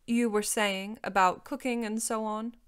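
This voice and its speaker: A woman voicing a self-possessed dragon in a storybook, measured, dry and matter-of-fact. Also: mid-range voice, fairly even intonation, smooth, lightly acted. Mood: dry, decisive.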